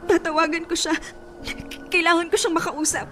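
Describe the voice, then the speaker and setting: A woman's voice: extremely high pitch, level tone, normal speed, fairly bright tone. An actress playing a heartbroken young woman whose boyfriend cheats, pleading and tearful, in a radio drama. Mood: crying.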